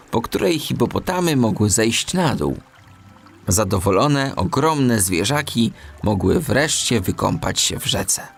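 A man narrating a children's audiobook in an expressive storytelling style. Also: medium pitch, creaky.

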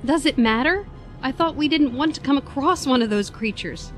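Sceptical female companion actress, audio drama. High, animated, questioning, music behind. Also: moderate pace.

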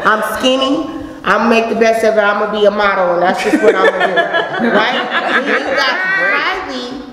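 A woman's voice: fairly high pitch, moderate pace, heavy reverb, long reverb tail, over a noisy crowd. A woman from California chatting on a panel in a large studio, acting out quotes as she tells stories. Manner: animated storyteller, acts out quotes. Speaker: panel guest. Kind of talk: casual panel chat. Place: large studio room, round table.

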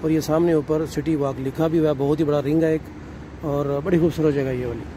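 A middle-aged man narrates a walking tour outdoors, calling out the sights to his viewers as he goes. He speaks into a handheld phone, and his voice sits a little high. Steady city traffic rumbles clearly behind him. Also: brisk. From Pakistan. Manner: addresses viewers as "nazreen", leisurely describing sights while walking. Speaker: travel vlogger. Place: city streets at night, outdoors. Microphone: handheld phone camera.

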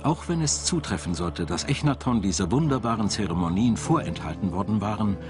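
Low-voiced male documentary narrator over music. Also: lively intonation. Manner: measured, storytelling. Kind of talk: scripted narration.

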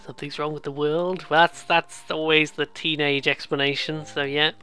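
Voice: medium pitch, little pitch movement, normal speed, pushed and bright, clean tone, faint music behind. A British let's player giving casual, rambling, amused commentary on a visual novel, with some swearing. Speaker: let's play host.

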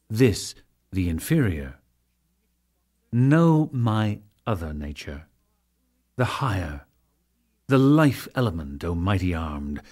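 A man gives a dramatic reading of scripture at a slow, stately pace. His delivery is solemn and declamatory, rising and falling widely in pitch, with deliberate pauses between phrases. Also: a little mellow. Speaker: audiobook narrator.

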